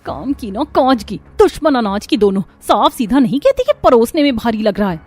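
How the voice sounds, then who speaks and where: A woman's voice: a little high, animated, normal speed, a little mellow. A woman voicing a put-upon young daughter-in-law in a family cartoon, with a wry, complaining manner. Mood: sarcastic.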